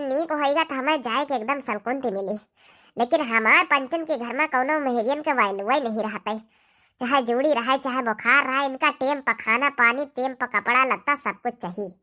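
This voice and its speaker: A woman's voice: sits high, bright, forceful tone. A woman with an Awadhi accent voicing an aggrieved, pleading young daughter-in-law in a comedy cartoon, complaining plaintively.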